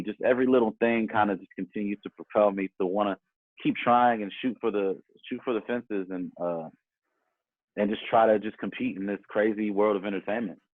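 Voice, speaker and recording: A man's voice: on the low side, brisk. A man chatting casually as an interview guest, telling stories in a rambling, slangy, conversational way. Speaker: podcast guest, music performer. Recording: thin phone-like call, roomy echo.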